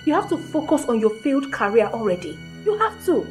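A woman acts out a snobbish young Nigerian girlfriend in a comic melodrama. Her voice is high, and she is blunt and scornful, hammering each point with repetition. Faint music sits underneath. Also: sing-song, fairly quick, a little mellow. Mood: scornful, haughty. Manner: emphatic, scolding, repetitive. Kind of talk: comedic melodrama acting.

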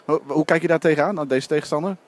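A man's voice: a little high, fairly even intonation, very fast. A man interviewing a coach and a player after a basketball game, asking casual questions. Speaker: sports interviewer.

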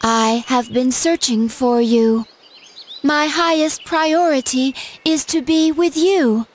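A woman playing a mysterious android girl in an anime game, formal, deadpan and robotic. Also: sits high, slow pace, a little hiss or hum. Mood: earnest, mechanical. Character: mysterious android girl, polite, stiff and emotionless.

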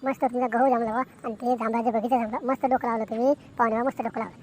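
A woman's voice: a little high, level tone, very fast, darkish tone, close to an everyday voice. A woman voicing a chatty, boastful village man in an amateur cartoon comedy, emphatic and repetitive, with a rural Maharashtrian accent. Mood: chatty, animated. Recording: noticeable background noise.

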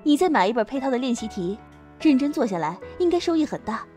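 Woman acting high, echoey, kind schoolgirl. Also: big pitch swings, quick pace, dark tone. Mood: friendly. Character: kind, studious top-student high-school girl. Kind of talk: amateur campus audio drama acting. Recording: echoey, long reverb, music underneath.

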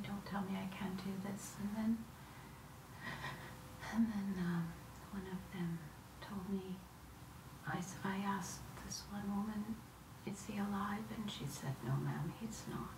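A woman's voice: steady tone, unhurried. A middle-aged woman giving an emotional, personal recollection of painful events. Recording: echoey, distant mic with noisy rumble.

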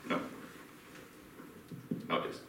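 A middle-aged man from Austria with a German accent, giving an interactive conference talk and questioning his audience. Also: takes it slowly. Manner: asks the audience questions, laughs lightly. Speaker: conference speaker. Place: dim hotel conference room, small audience. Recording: echoey room from far off, faint hiss.

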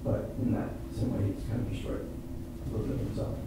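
A middle-aged man talks informally with an audience from a theatre stage. He explains in a halting, earnest way. The sound is very reverberant and picked up from far off, with clear noise behind. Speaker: playwright and performer at a post-show talkback. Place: indoor theatre stage, seated on the floor. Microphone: far camera microphone. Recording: distant echoey hall recording with noise.

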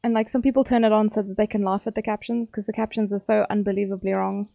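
A woman's voice: mid-range voice, little pitch movement, fast talker, vocal fry, warm tone, no echo. A young woman with a South African accent, chatting casually and teasingly with her viewers while streaming a game. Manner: chatty, playful, teasing chat.

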